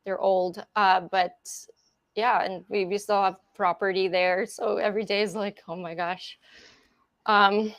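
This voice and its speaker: A woman from Ukraine co-hosts a livestream chat, relaxed and conversational as she reads out viewer comments. Her voice sits in a middle pitch. The sound is dry and close, with no room echo. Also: a little slow. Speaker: co-host.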